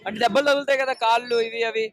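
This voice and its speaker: A man interviewing fans as a street reporter, quickly mixing Telugu and English questions. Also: extremely high pitch, fairly even intonation, a bit bright. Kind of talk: vox pop interviews. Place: outdoors at a stadium crowd. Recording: busy crowd behind. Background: crowd noise.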